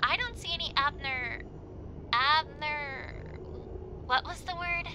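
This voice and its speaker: A woman's voice: high-pitched, takes it slowly, noisy background. A woman voicing an eager, studious young female pony co-pilot in an audio drama, speaking precisely. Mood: eager, chatty. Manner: precise checklist reading.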